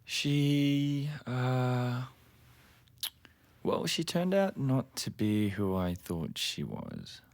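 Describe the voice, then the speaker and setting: Creaky-voiced, halting young lover. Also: male voice, mid-range voice, very animated, no room sound, full character voice. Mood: halting, exposed. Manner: halting, casual, tentative. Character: easygoing, slightly awkward young male lover. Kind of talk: intimate naturalistic audio drama dialogue.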